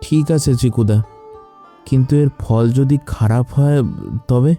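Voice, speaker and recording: A man's voice: medium pitch, a little slow, dark tone, faint music behind, natural voice. A man voicing a timid, honest cartoon village sidekick, hesitant and full of worried questions. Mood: worried doubt. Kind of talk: animated cartoon dialogue.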